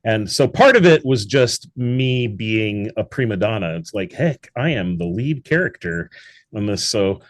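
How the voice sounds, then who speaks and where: A man's voice: fairly low pitch, lively intonation, a little slow. A middle-aged man, a theatre founder, chatting as an interview guest, rambling with many restarts and fillers. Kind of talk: casual interview chat.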